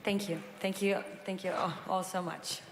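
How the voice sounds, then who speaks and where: A woman's voice: medium pitch, level tone, normal speed, slight background noise. Hesitant woman moderating in a hall. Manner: hesitant, many fillers and restarts. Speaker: panel moderator. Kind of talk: moderating a panel discussion. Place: large conference hall. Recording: reverberant conference hall, faint ambience.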